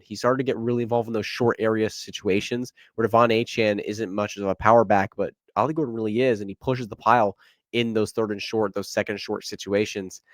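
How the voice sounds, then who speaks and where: A man's voice: mid-range voice, dry recording. Congested man hosting a sports podcast. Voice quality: nasal. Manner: energetic, conversational, quick asides. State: congested, stuffy nose. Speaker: podcast host. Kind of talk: solo sports podcast analysis.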